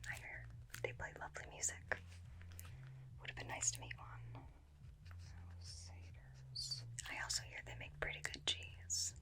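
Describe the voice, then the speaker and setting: A woman playing a chatty, suspicious, inept vampire slayer in a softly whispered ASMR roleplay, recorded close on a binaural microphone. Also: unhurried, close to an everyday voice. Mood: chatty, curious. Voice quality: soft, breathy whisper. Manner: rambling, confiding, questioning.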